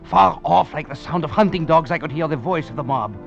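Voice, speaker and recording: An actor playing a cunning, roguish escaped French convict on the run, in theatrical golden-age radio drama style with French endearments. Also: male voice, on the high side, lively intonation, fairly quick, gravelly. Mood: tense narration. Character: hunted escaped French convict, cunning, roguish, suave, narrating his flight. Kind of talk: 1940s-50s American radio drama acting. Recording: muffled vintage radio recording, music behind.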